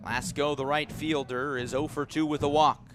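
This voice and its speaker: A man doing live radio baseball play-by-play as the announcer, with excited calls on the big plays. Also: on the high side, normal speed, music in the background. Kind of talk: live sports commentary.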